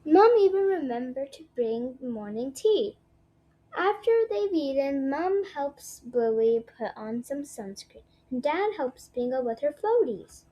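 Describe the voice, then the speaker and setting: Woman, children's read-aloud presenter, high voice, slow pace, halting delivery. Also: big pitch swings, highs cut off.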